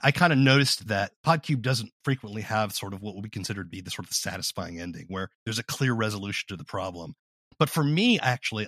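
A man's voice: lowish voice, a bit bright, no echo, clean studio sound. A man speaking as an interviewer in a conversational podcast, explaining ideas as he goes.

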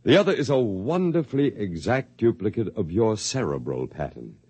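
Suave condescending old scientist. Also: male voice, fairly low pitch, big pitch swings, normal speed, mellow, no room sound. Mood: patronizing. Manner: smooth, condescending, formal diction. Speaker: head of the archive project. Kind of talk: 1950s radio drama acting.

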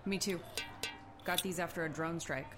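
A woman's voice: lowish voice, moderate pace, a bit bright, soft background music, close to an everyday voice. A woman playing a friendly, needy cyborg war veteran scrounging for parts in an audio drama. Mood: chummy, hopeful.

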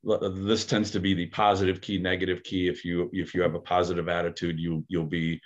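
A man's voice: deep voice, flat delivery, a little fast, lo-fi sound. A man talking through ideas on a podcast, thoughtful and hesitant, with many fillers and restarts. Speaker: aikido practitioner, podcast co-host. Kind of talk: casual podcast discussion.

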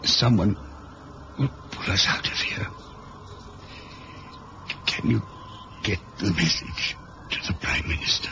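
An actor playing a weary, dying agent in a 1970s radio drama, halting and breathy as he struggles for breath. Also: low-pitched, heavily acted. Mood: weak, fading. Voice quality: breathy, croaking. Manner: halting, laboured speech. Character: dying, weary male agent, weak and fading. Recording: dull, noisy old radio recording.

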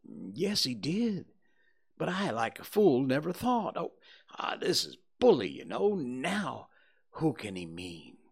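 A man voicing an excitable, bossy and superstitious young boy with an emphatic, dramatic rustic dialect. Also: mid-range voice, slow, vocal fry, husky, voice pushed up, full character voice. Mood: excited.

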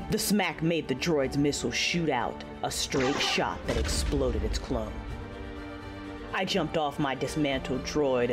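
A woman playing a proud, wounded, coldly furious female Sith lord, narrating an audio drama with intense, theatrical delivery. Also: extremely low pitch. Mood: tense, driven. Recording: music behind the voice. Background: music.

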